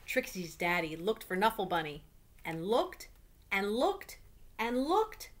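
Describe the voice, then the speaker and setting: A woman's voice: mid-range voice, very animated, takes it slowly. A middle-aged woman reads a children's picture book aloud as a storyteller at home. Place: small room at home.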